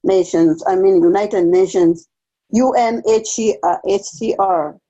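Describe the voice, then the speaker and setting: Slow, soft-toned Kenyan woman. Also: mid-range voice. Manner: careful, hesitant, with pauses and restarts. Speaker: case aide, guest speaker. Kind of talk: online seminar interview. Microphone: video call. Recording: dull lo-fi video call audio.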